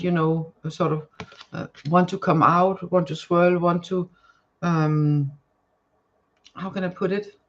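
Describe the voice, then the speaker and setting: A woman with a Danish accent, whose first language is Danish, giving a live tarot reading to viewers and often pausing to search for words. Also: fairly low pitch, highs cut off. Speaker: tarot card reader. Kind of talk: live-stream card reading, chatting to viewers.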